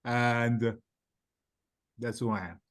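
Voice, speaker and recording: A man in his seventies from Dominica, a panel guest speaking in a measured, matter-of-fact way. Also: mid-range voice, steady tone, dry recording, clean studio sound. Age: 70s. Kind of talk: casual chat.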